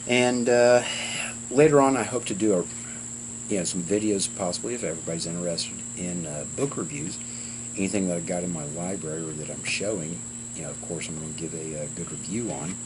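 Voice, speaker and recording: A man in his fifties giving an informal, rambling instructional talk to camera, with halting delivery and frequent fillers. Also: sits low. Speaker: blacksmithing teacher and channel host. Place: outdoors on a house porch. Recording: clearly noisy outdoor recording with insects.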